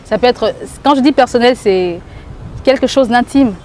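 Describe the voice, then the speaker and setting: A woman singer being interviewed, speaking thoughtfully and pausing often to search for her words. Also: a little high, a little mellow, slight background noise. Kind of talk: casual interview talk.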